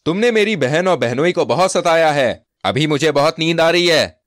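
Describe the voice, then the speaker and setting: A man voicing a bold, brash village brother in an animated story, speaking emphatically. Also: a little high, moderate pace, no echo, studio quality, voice pushed up. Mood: defiant.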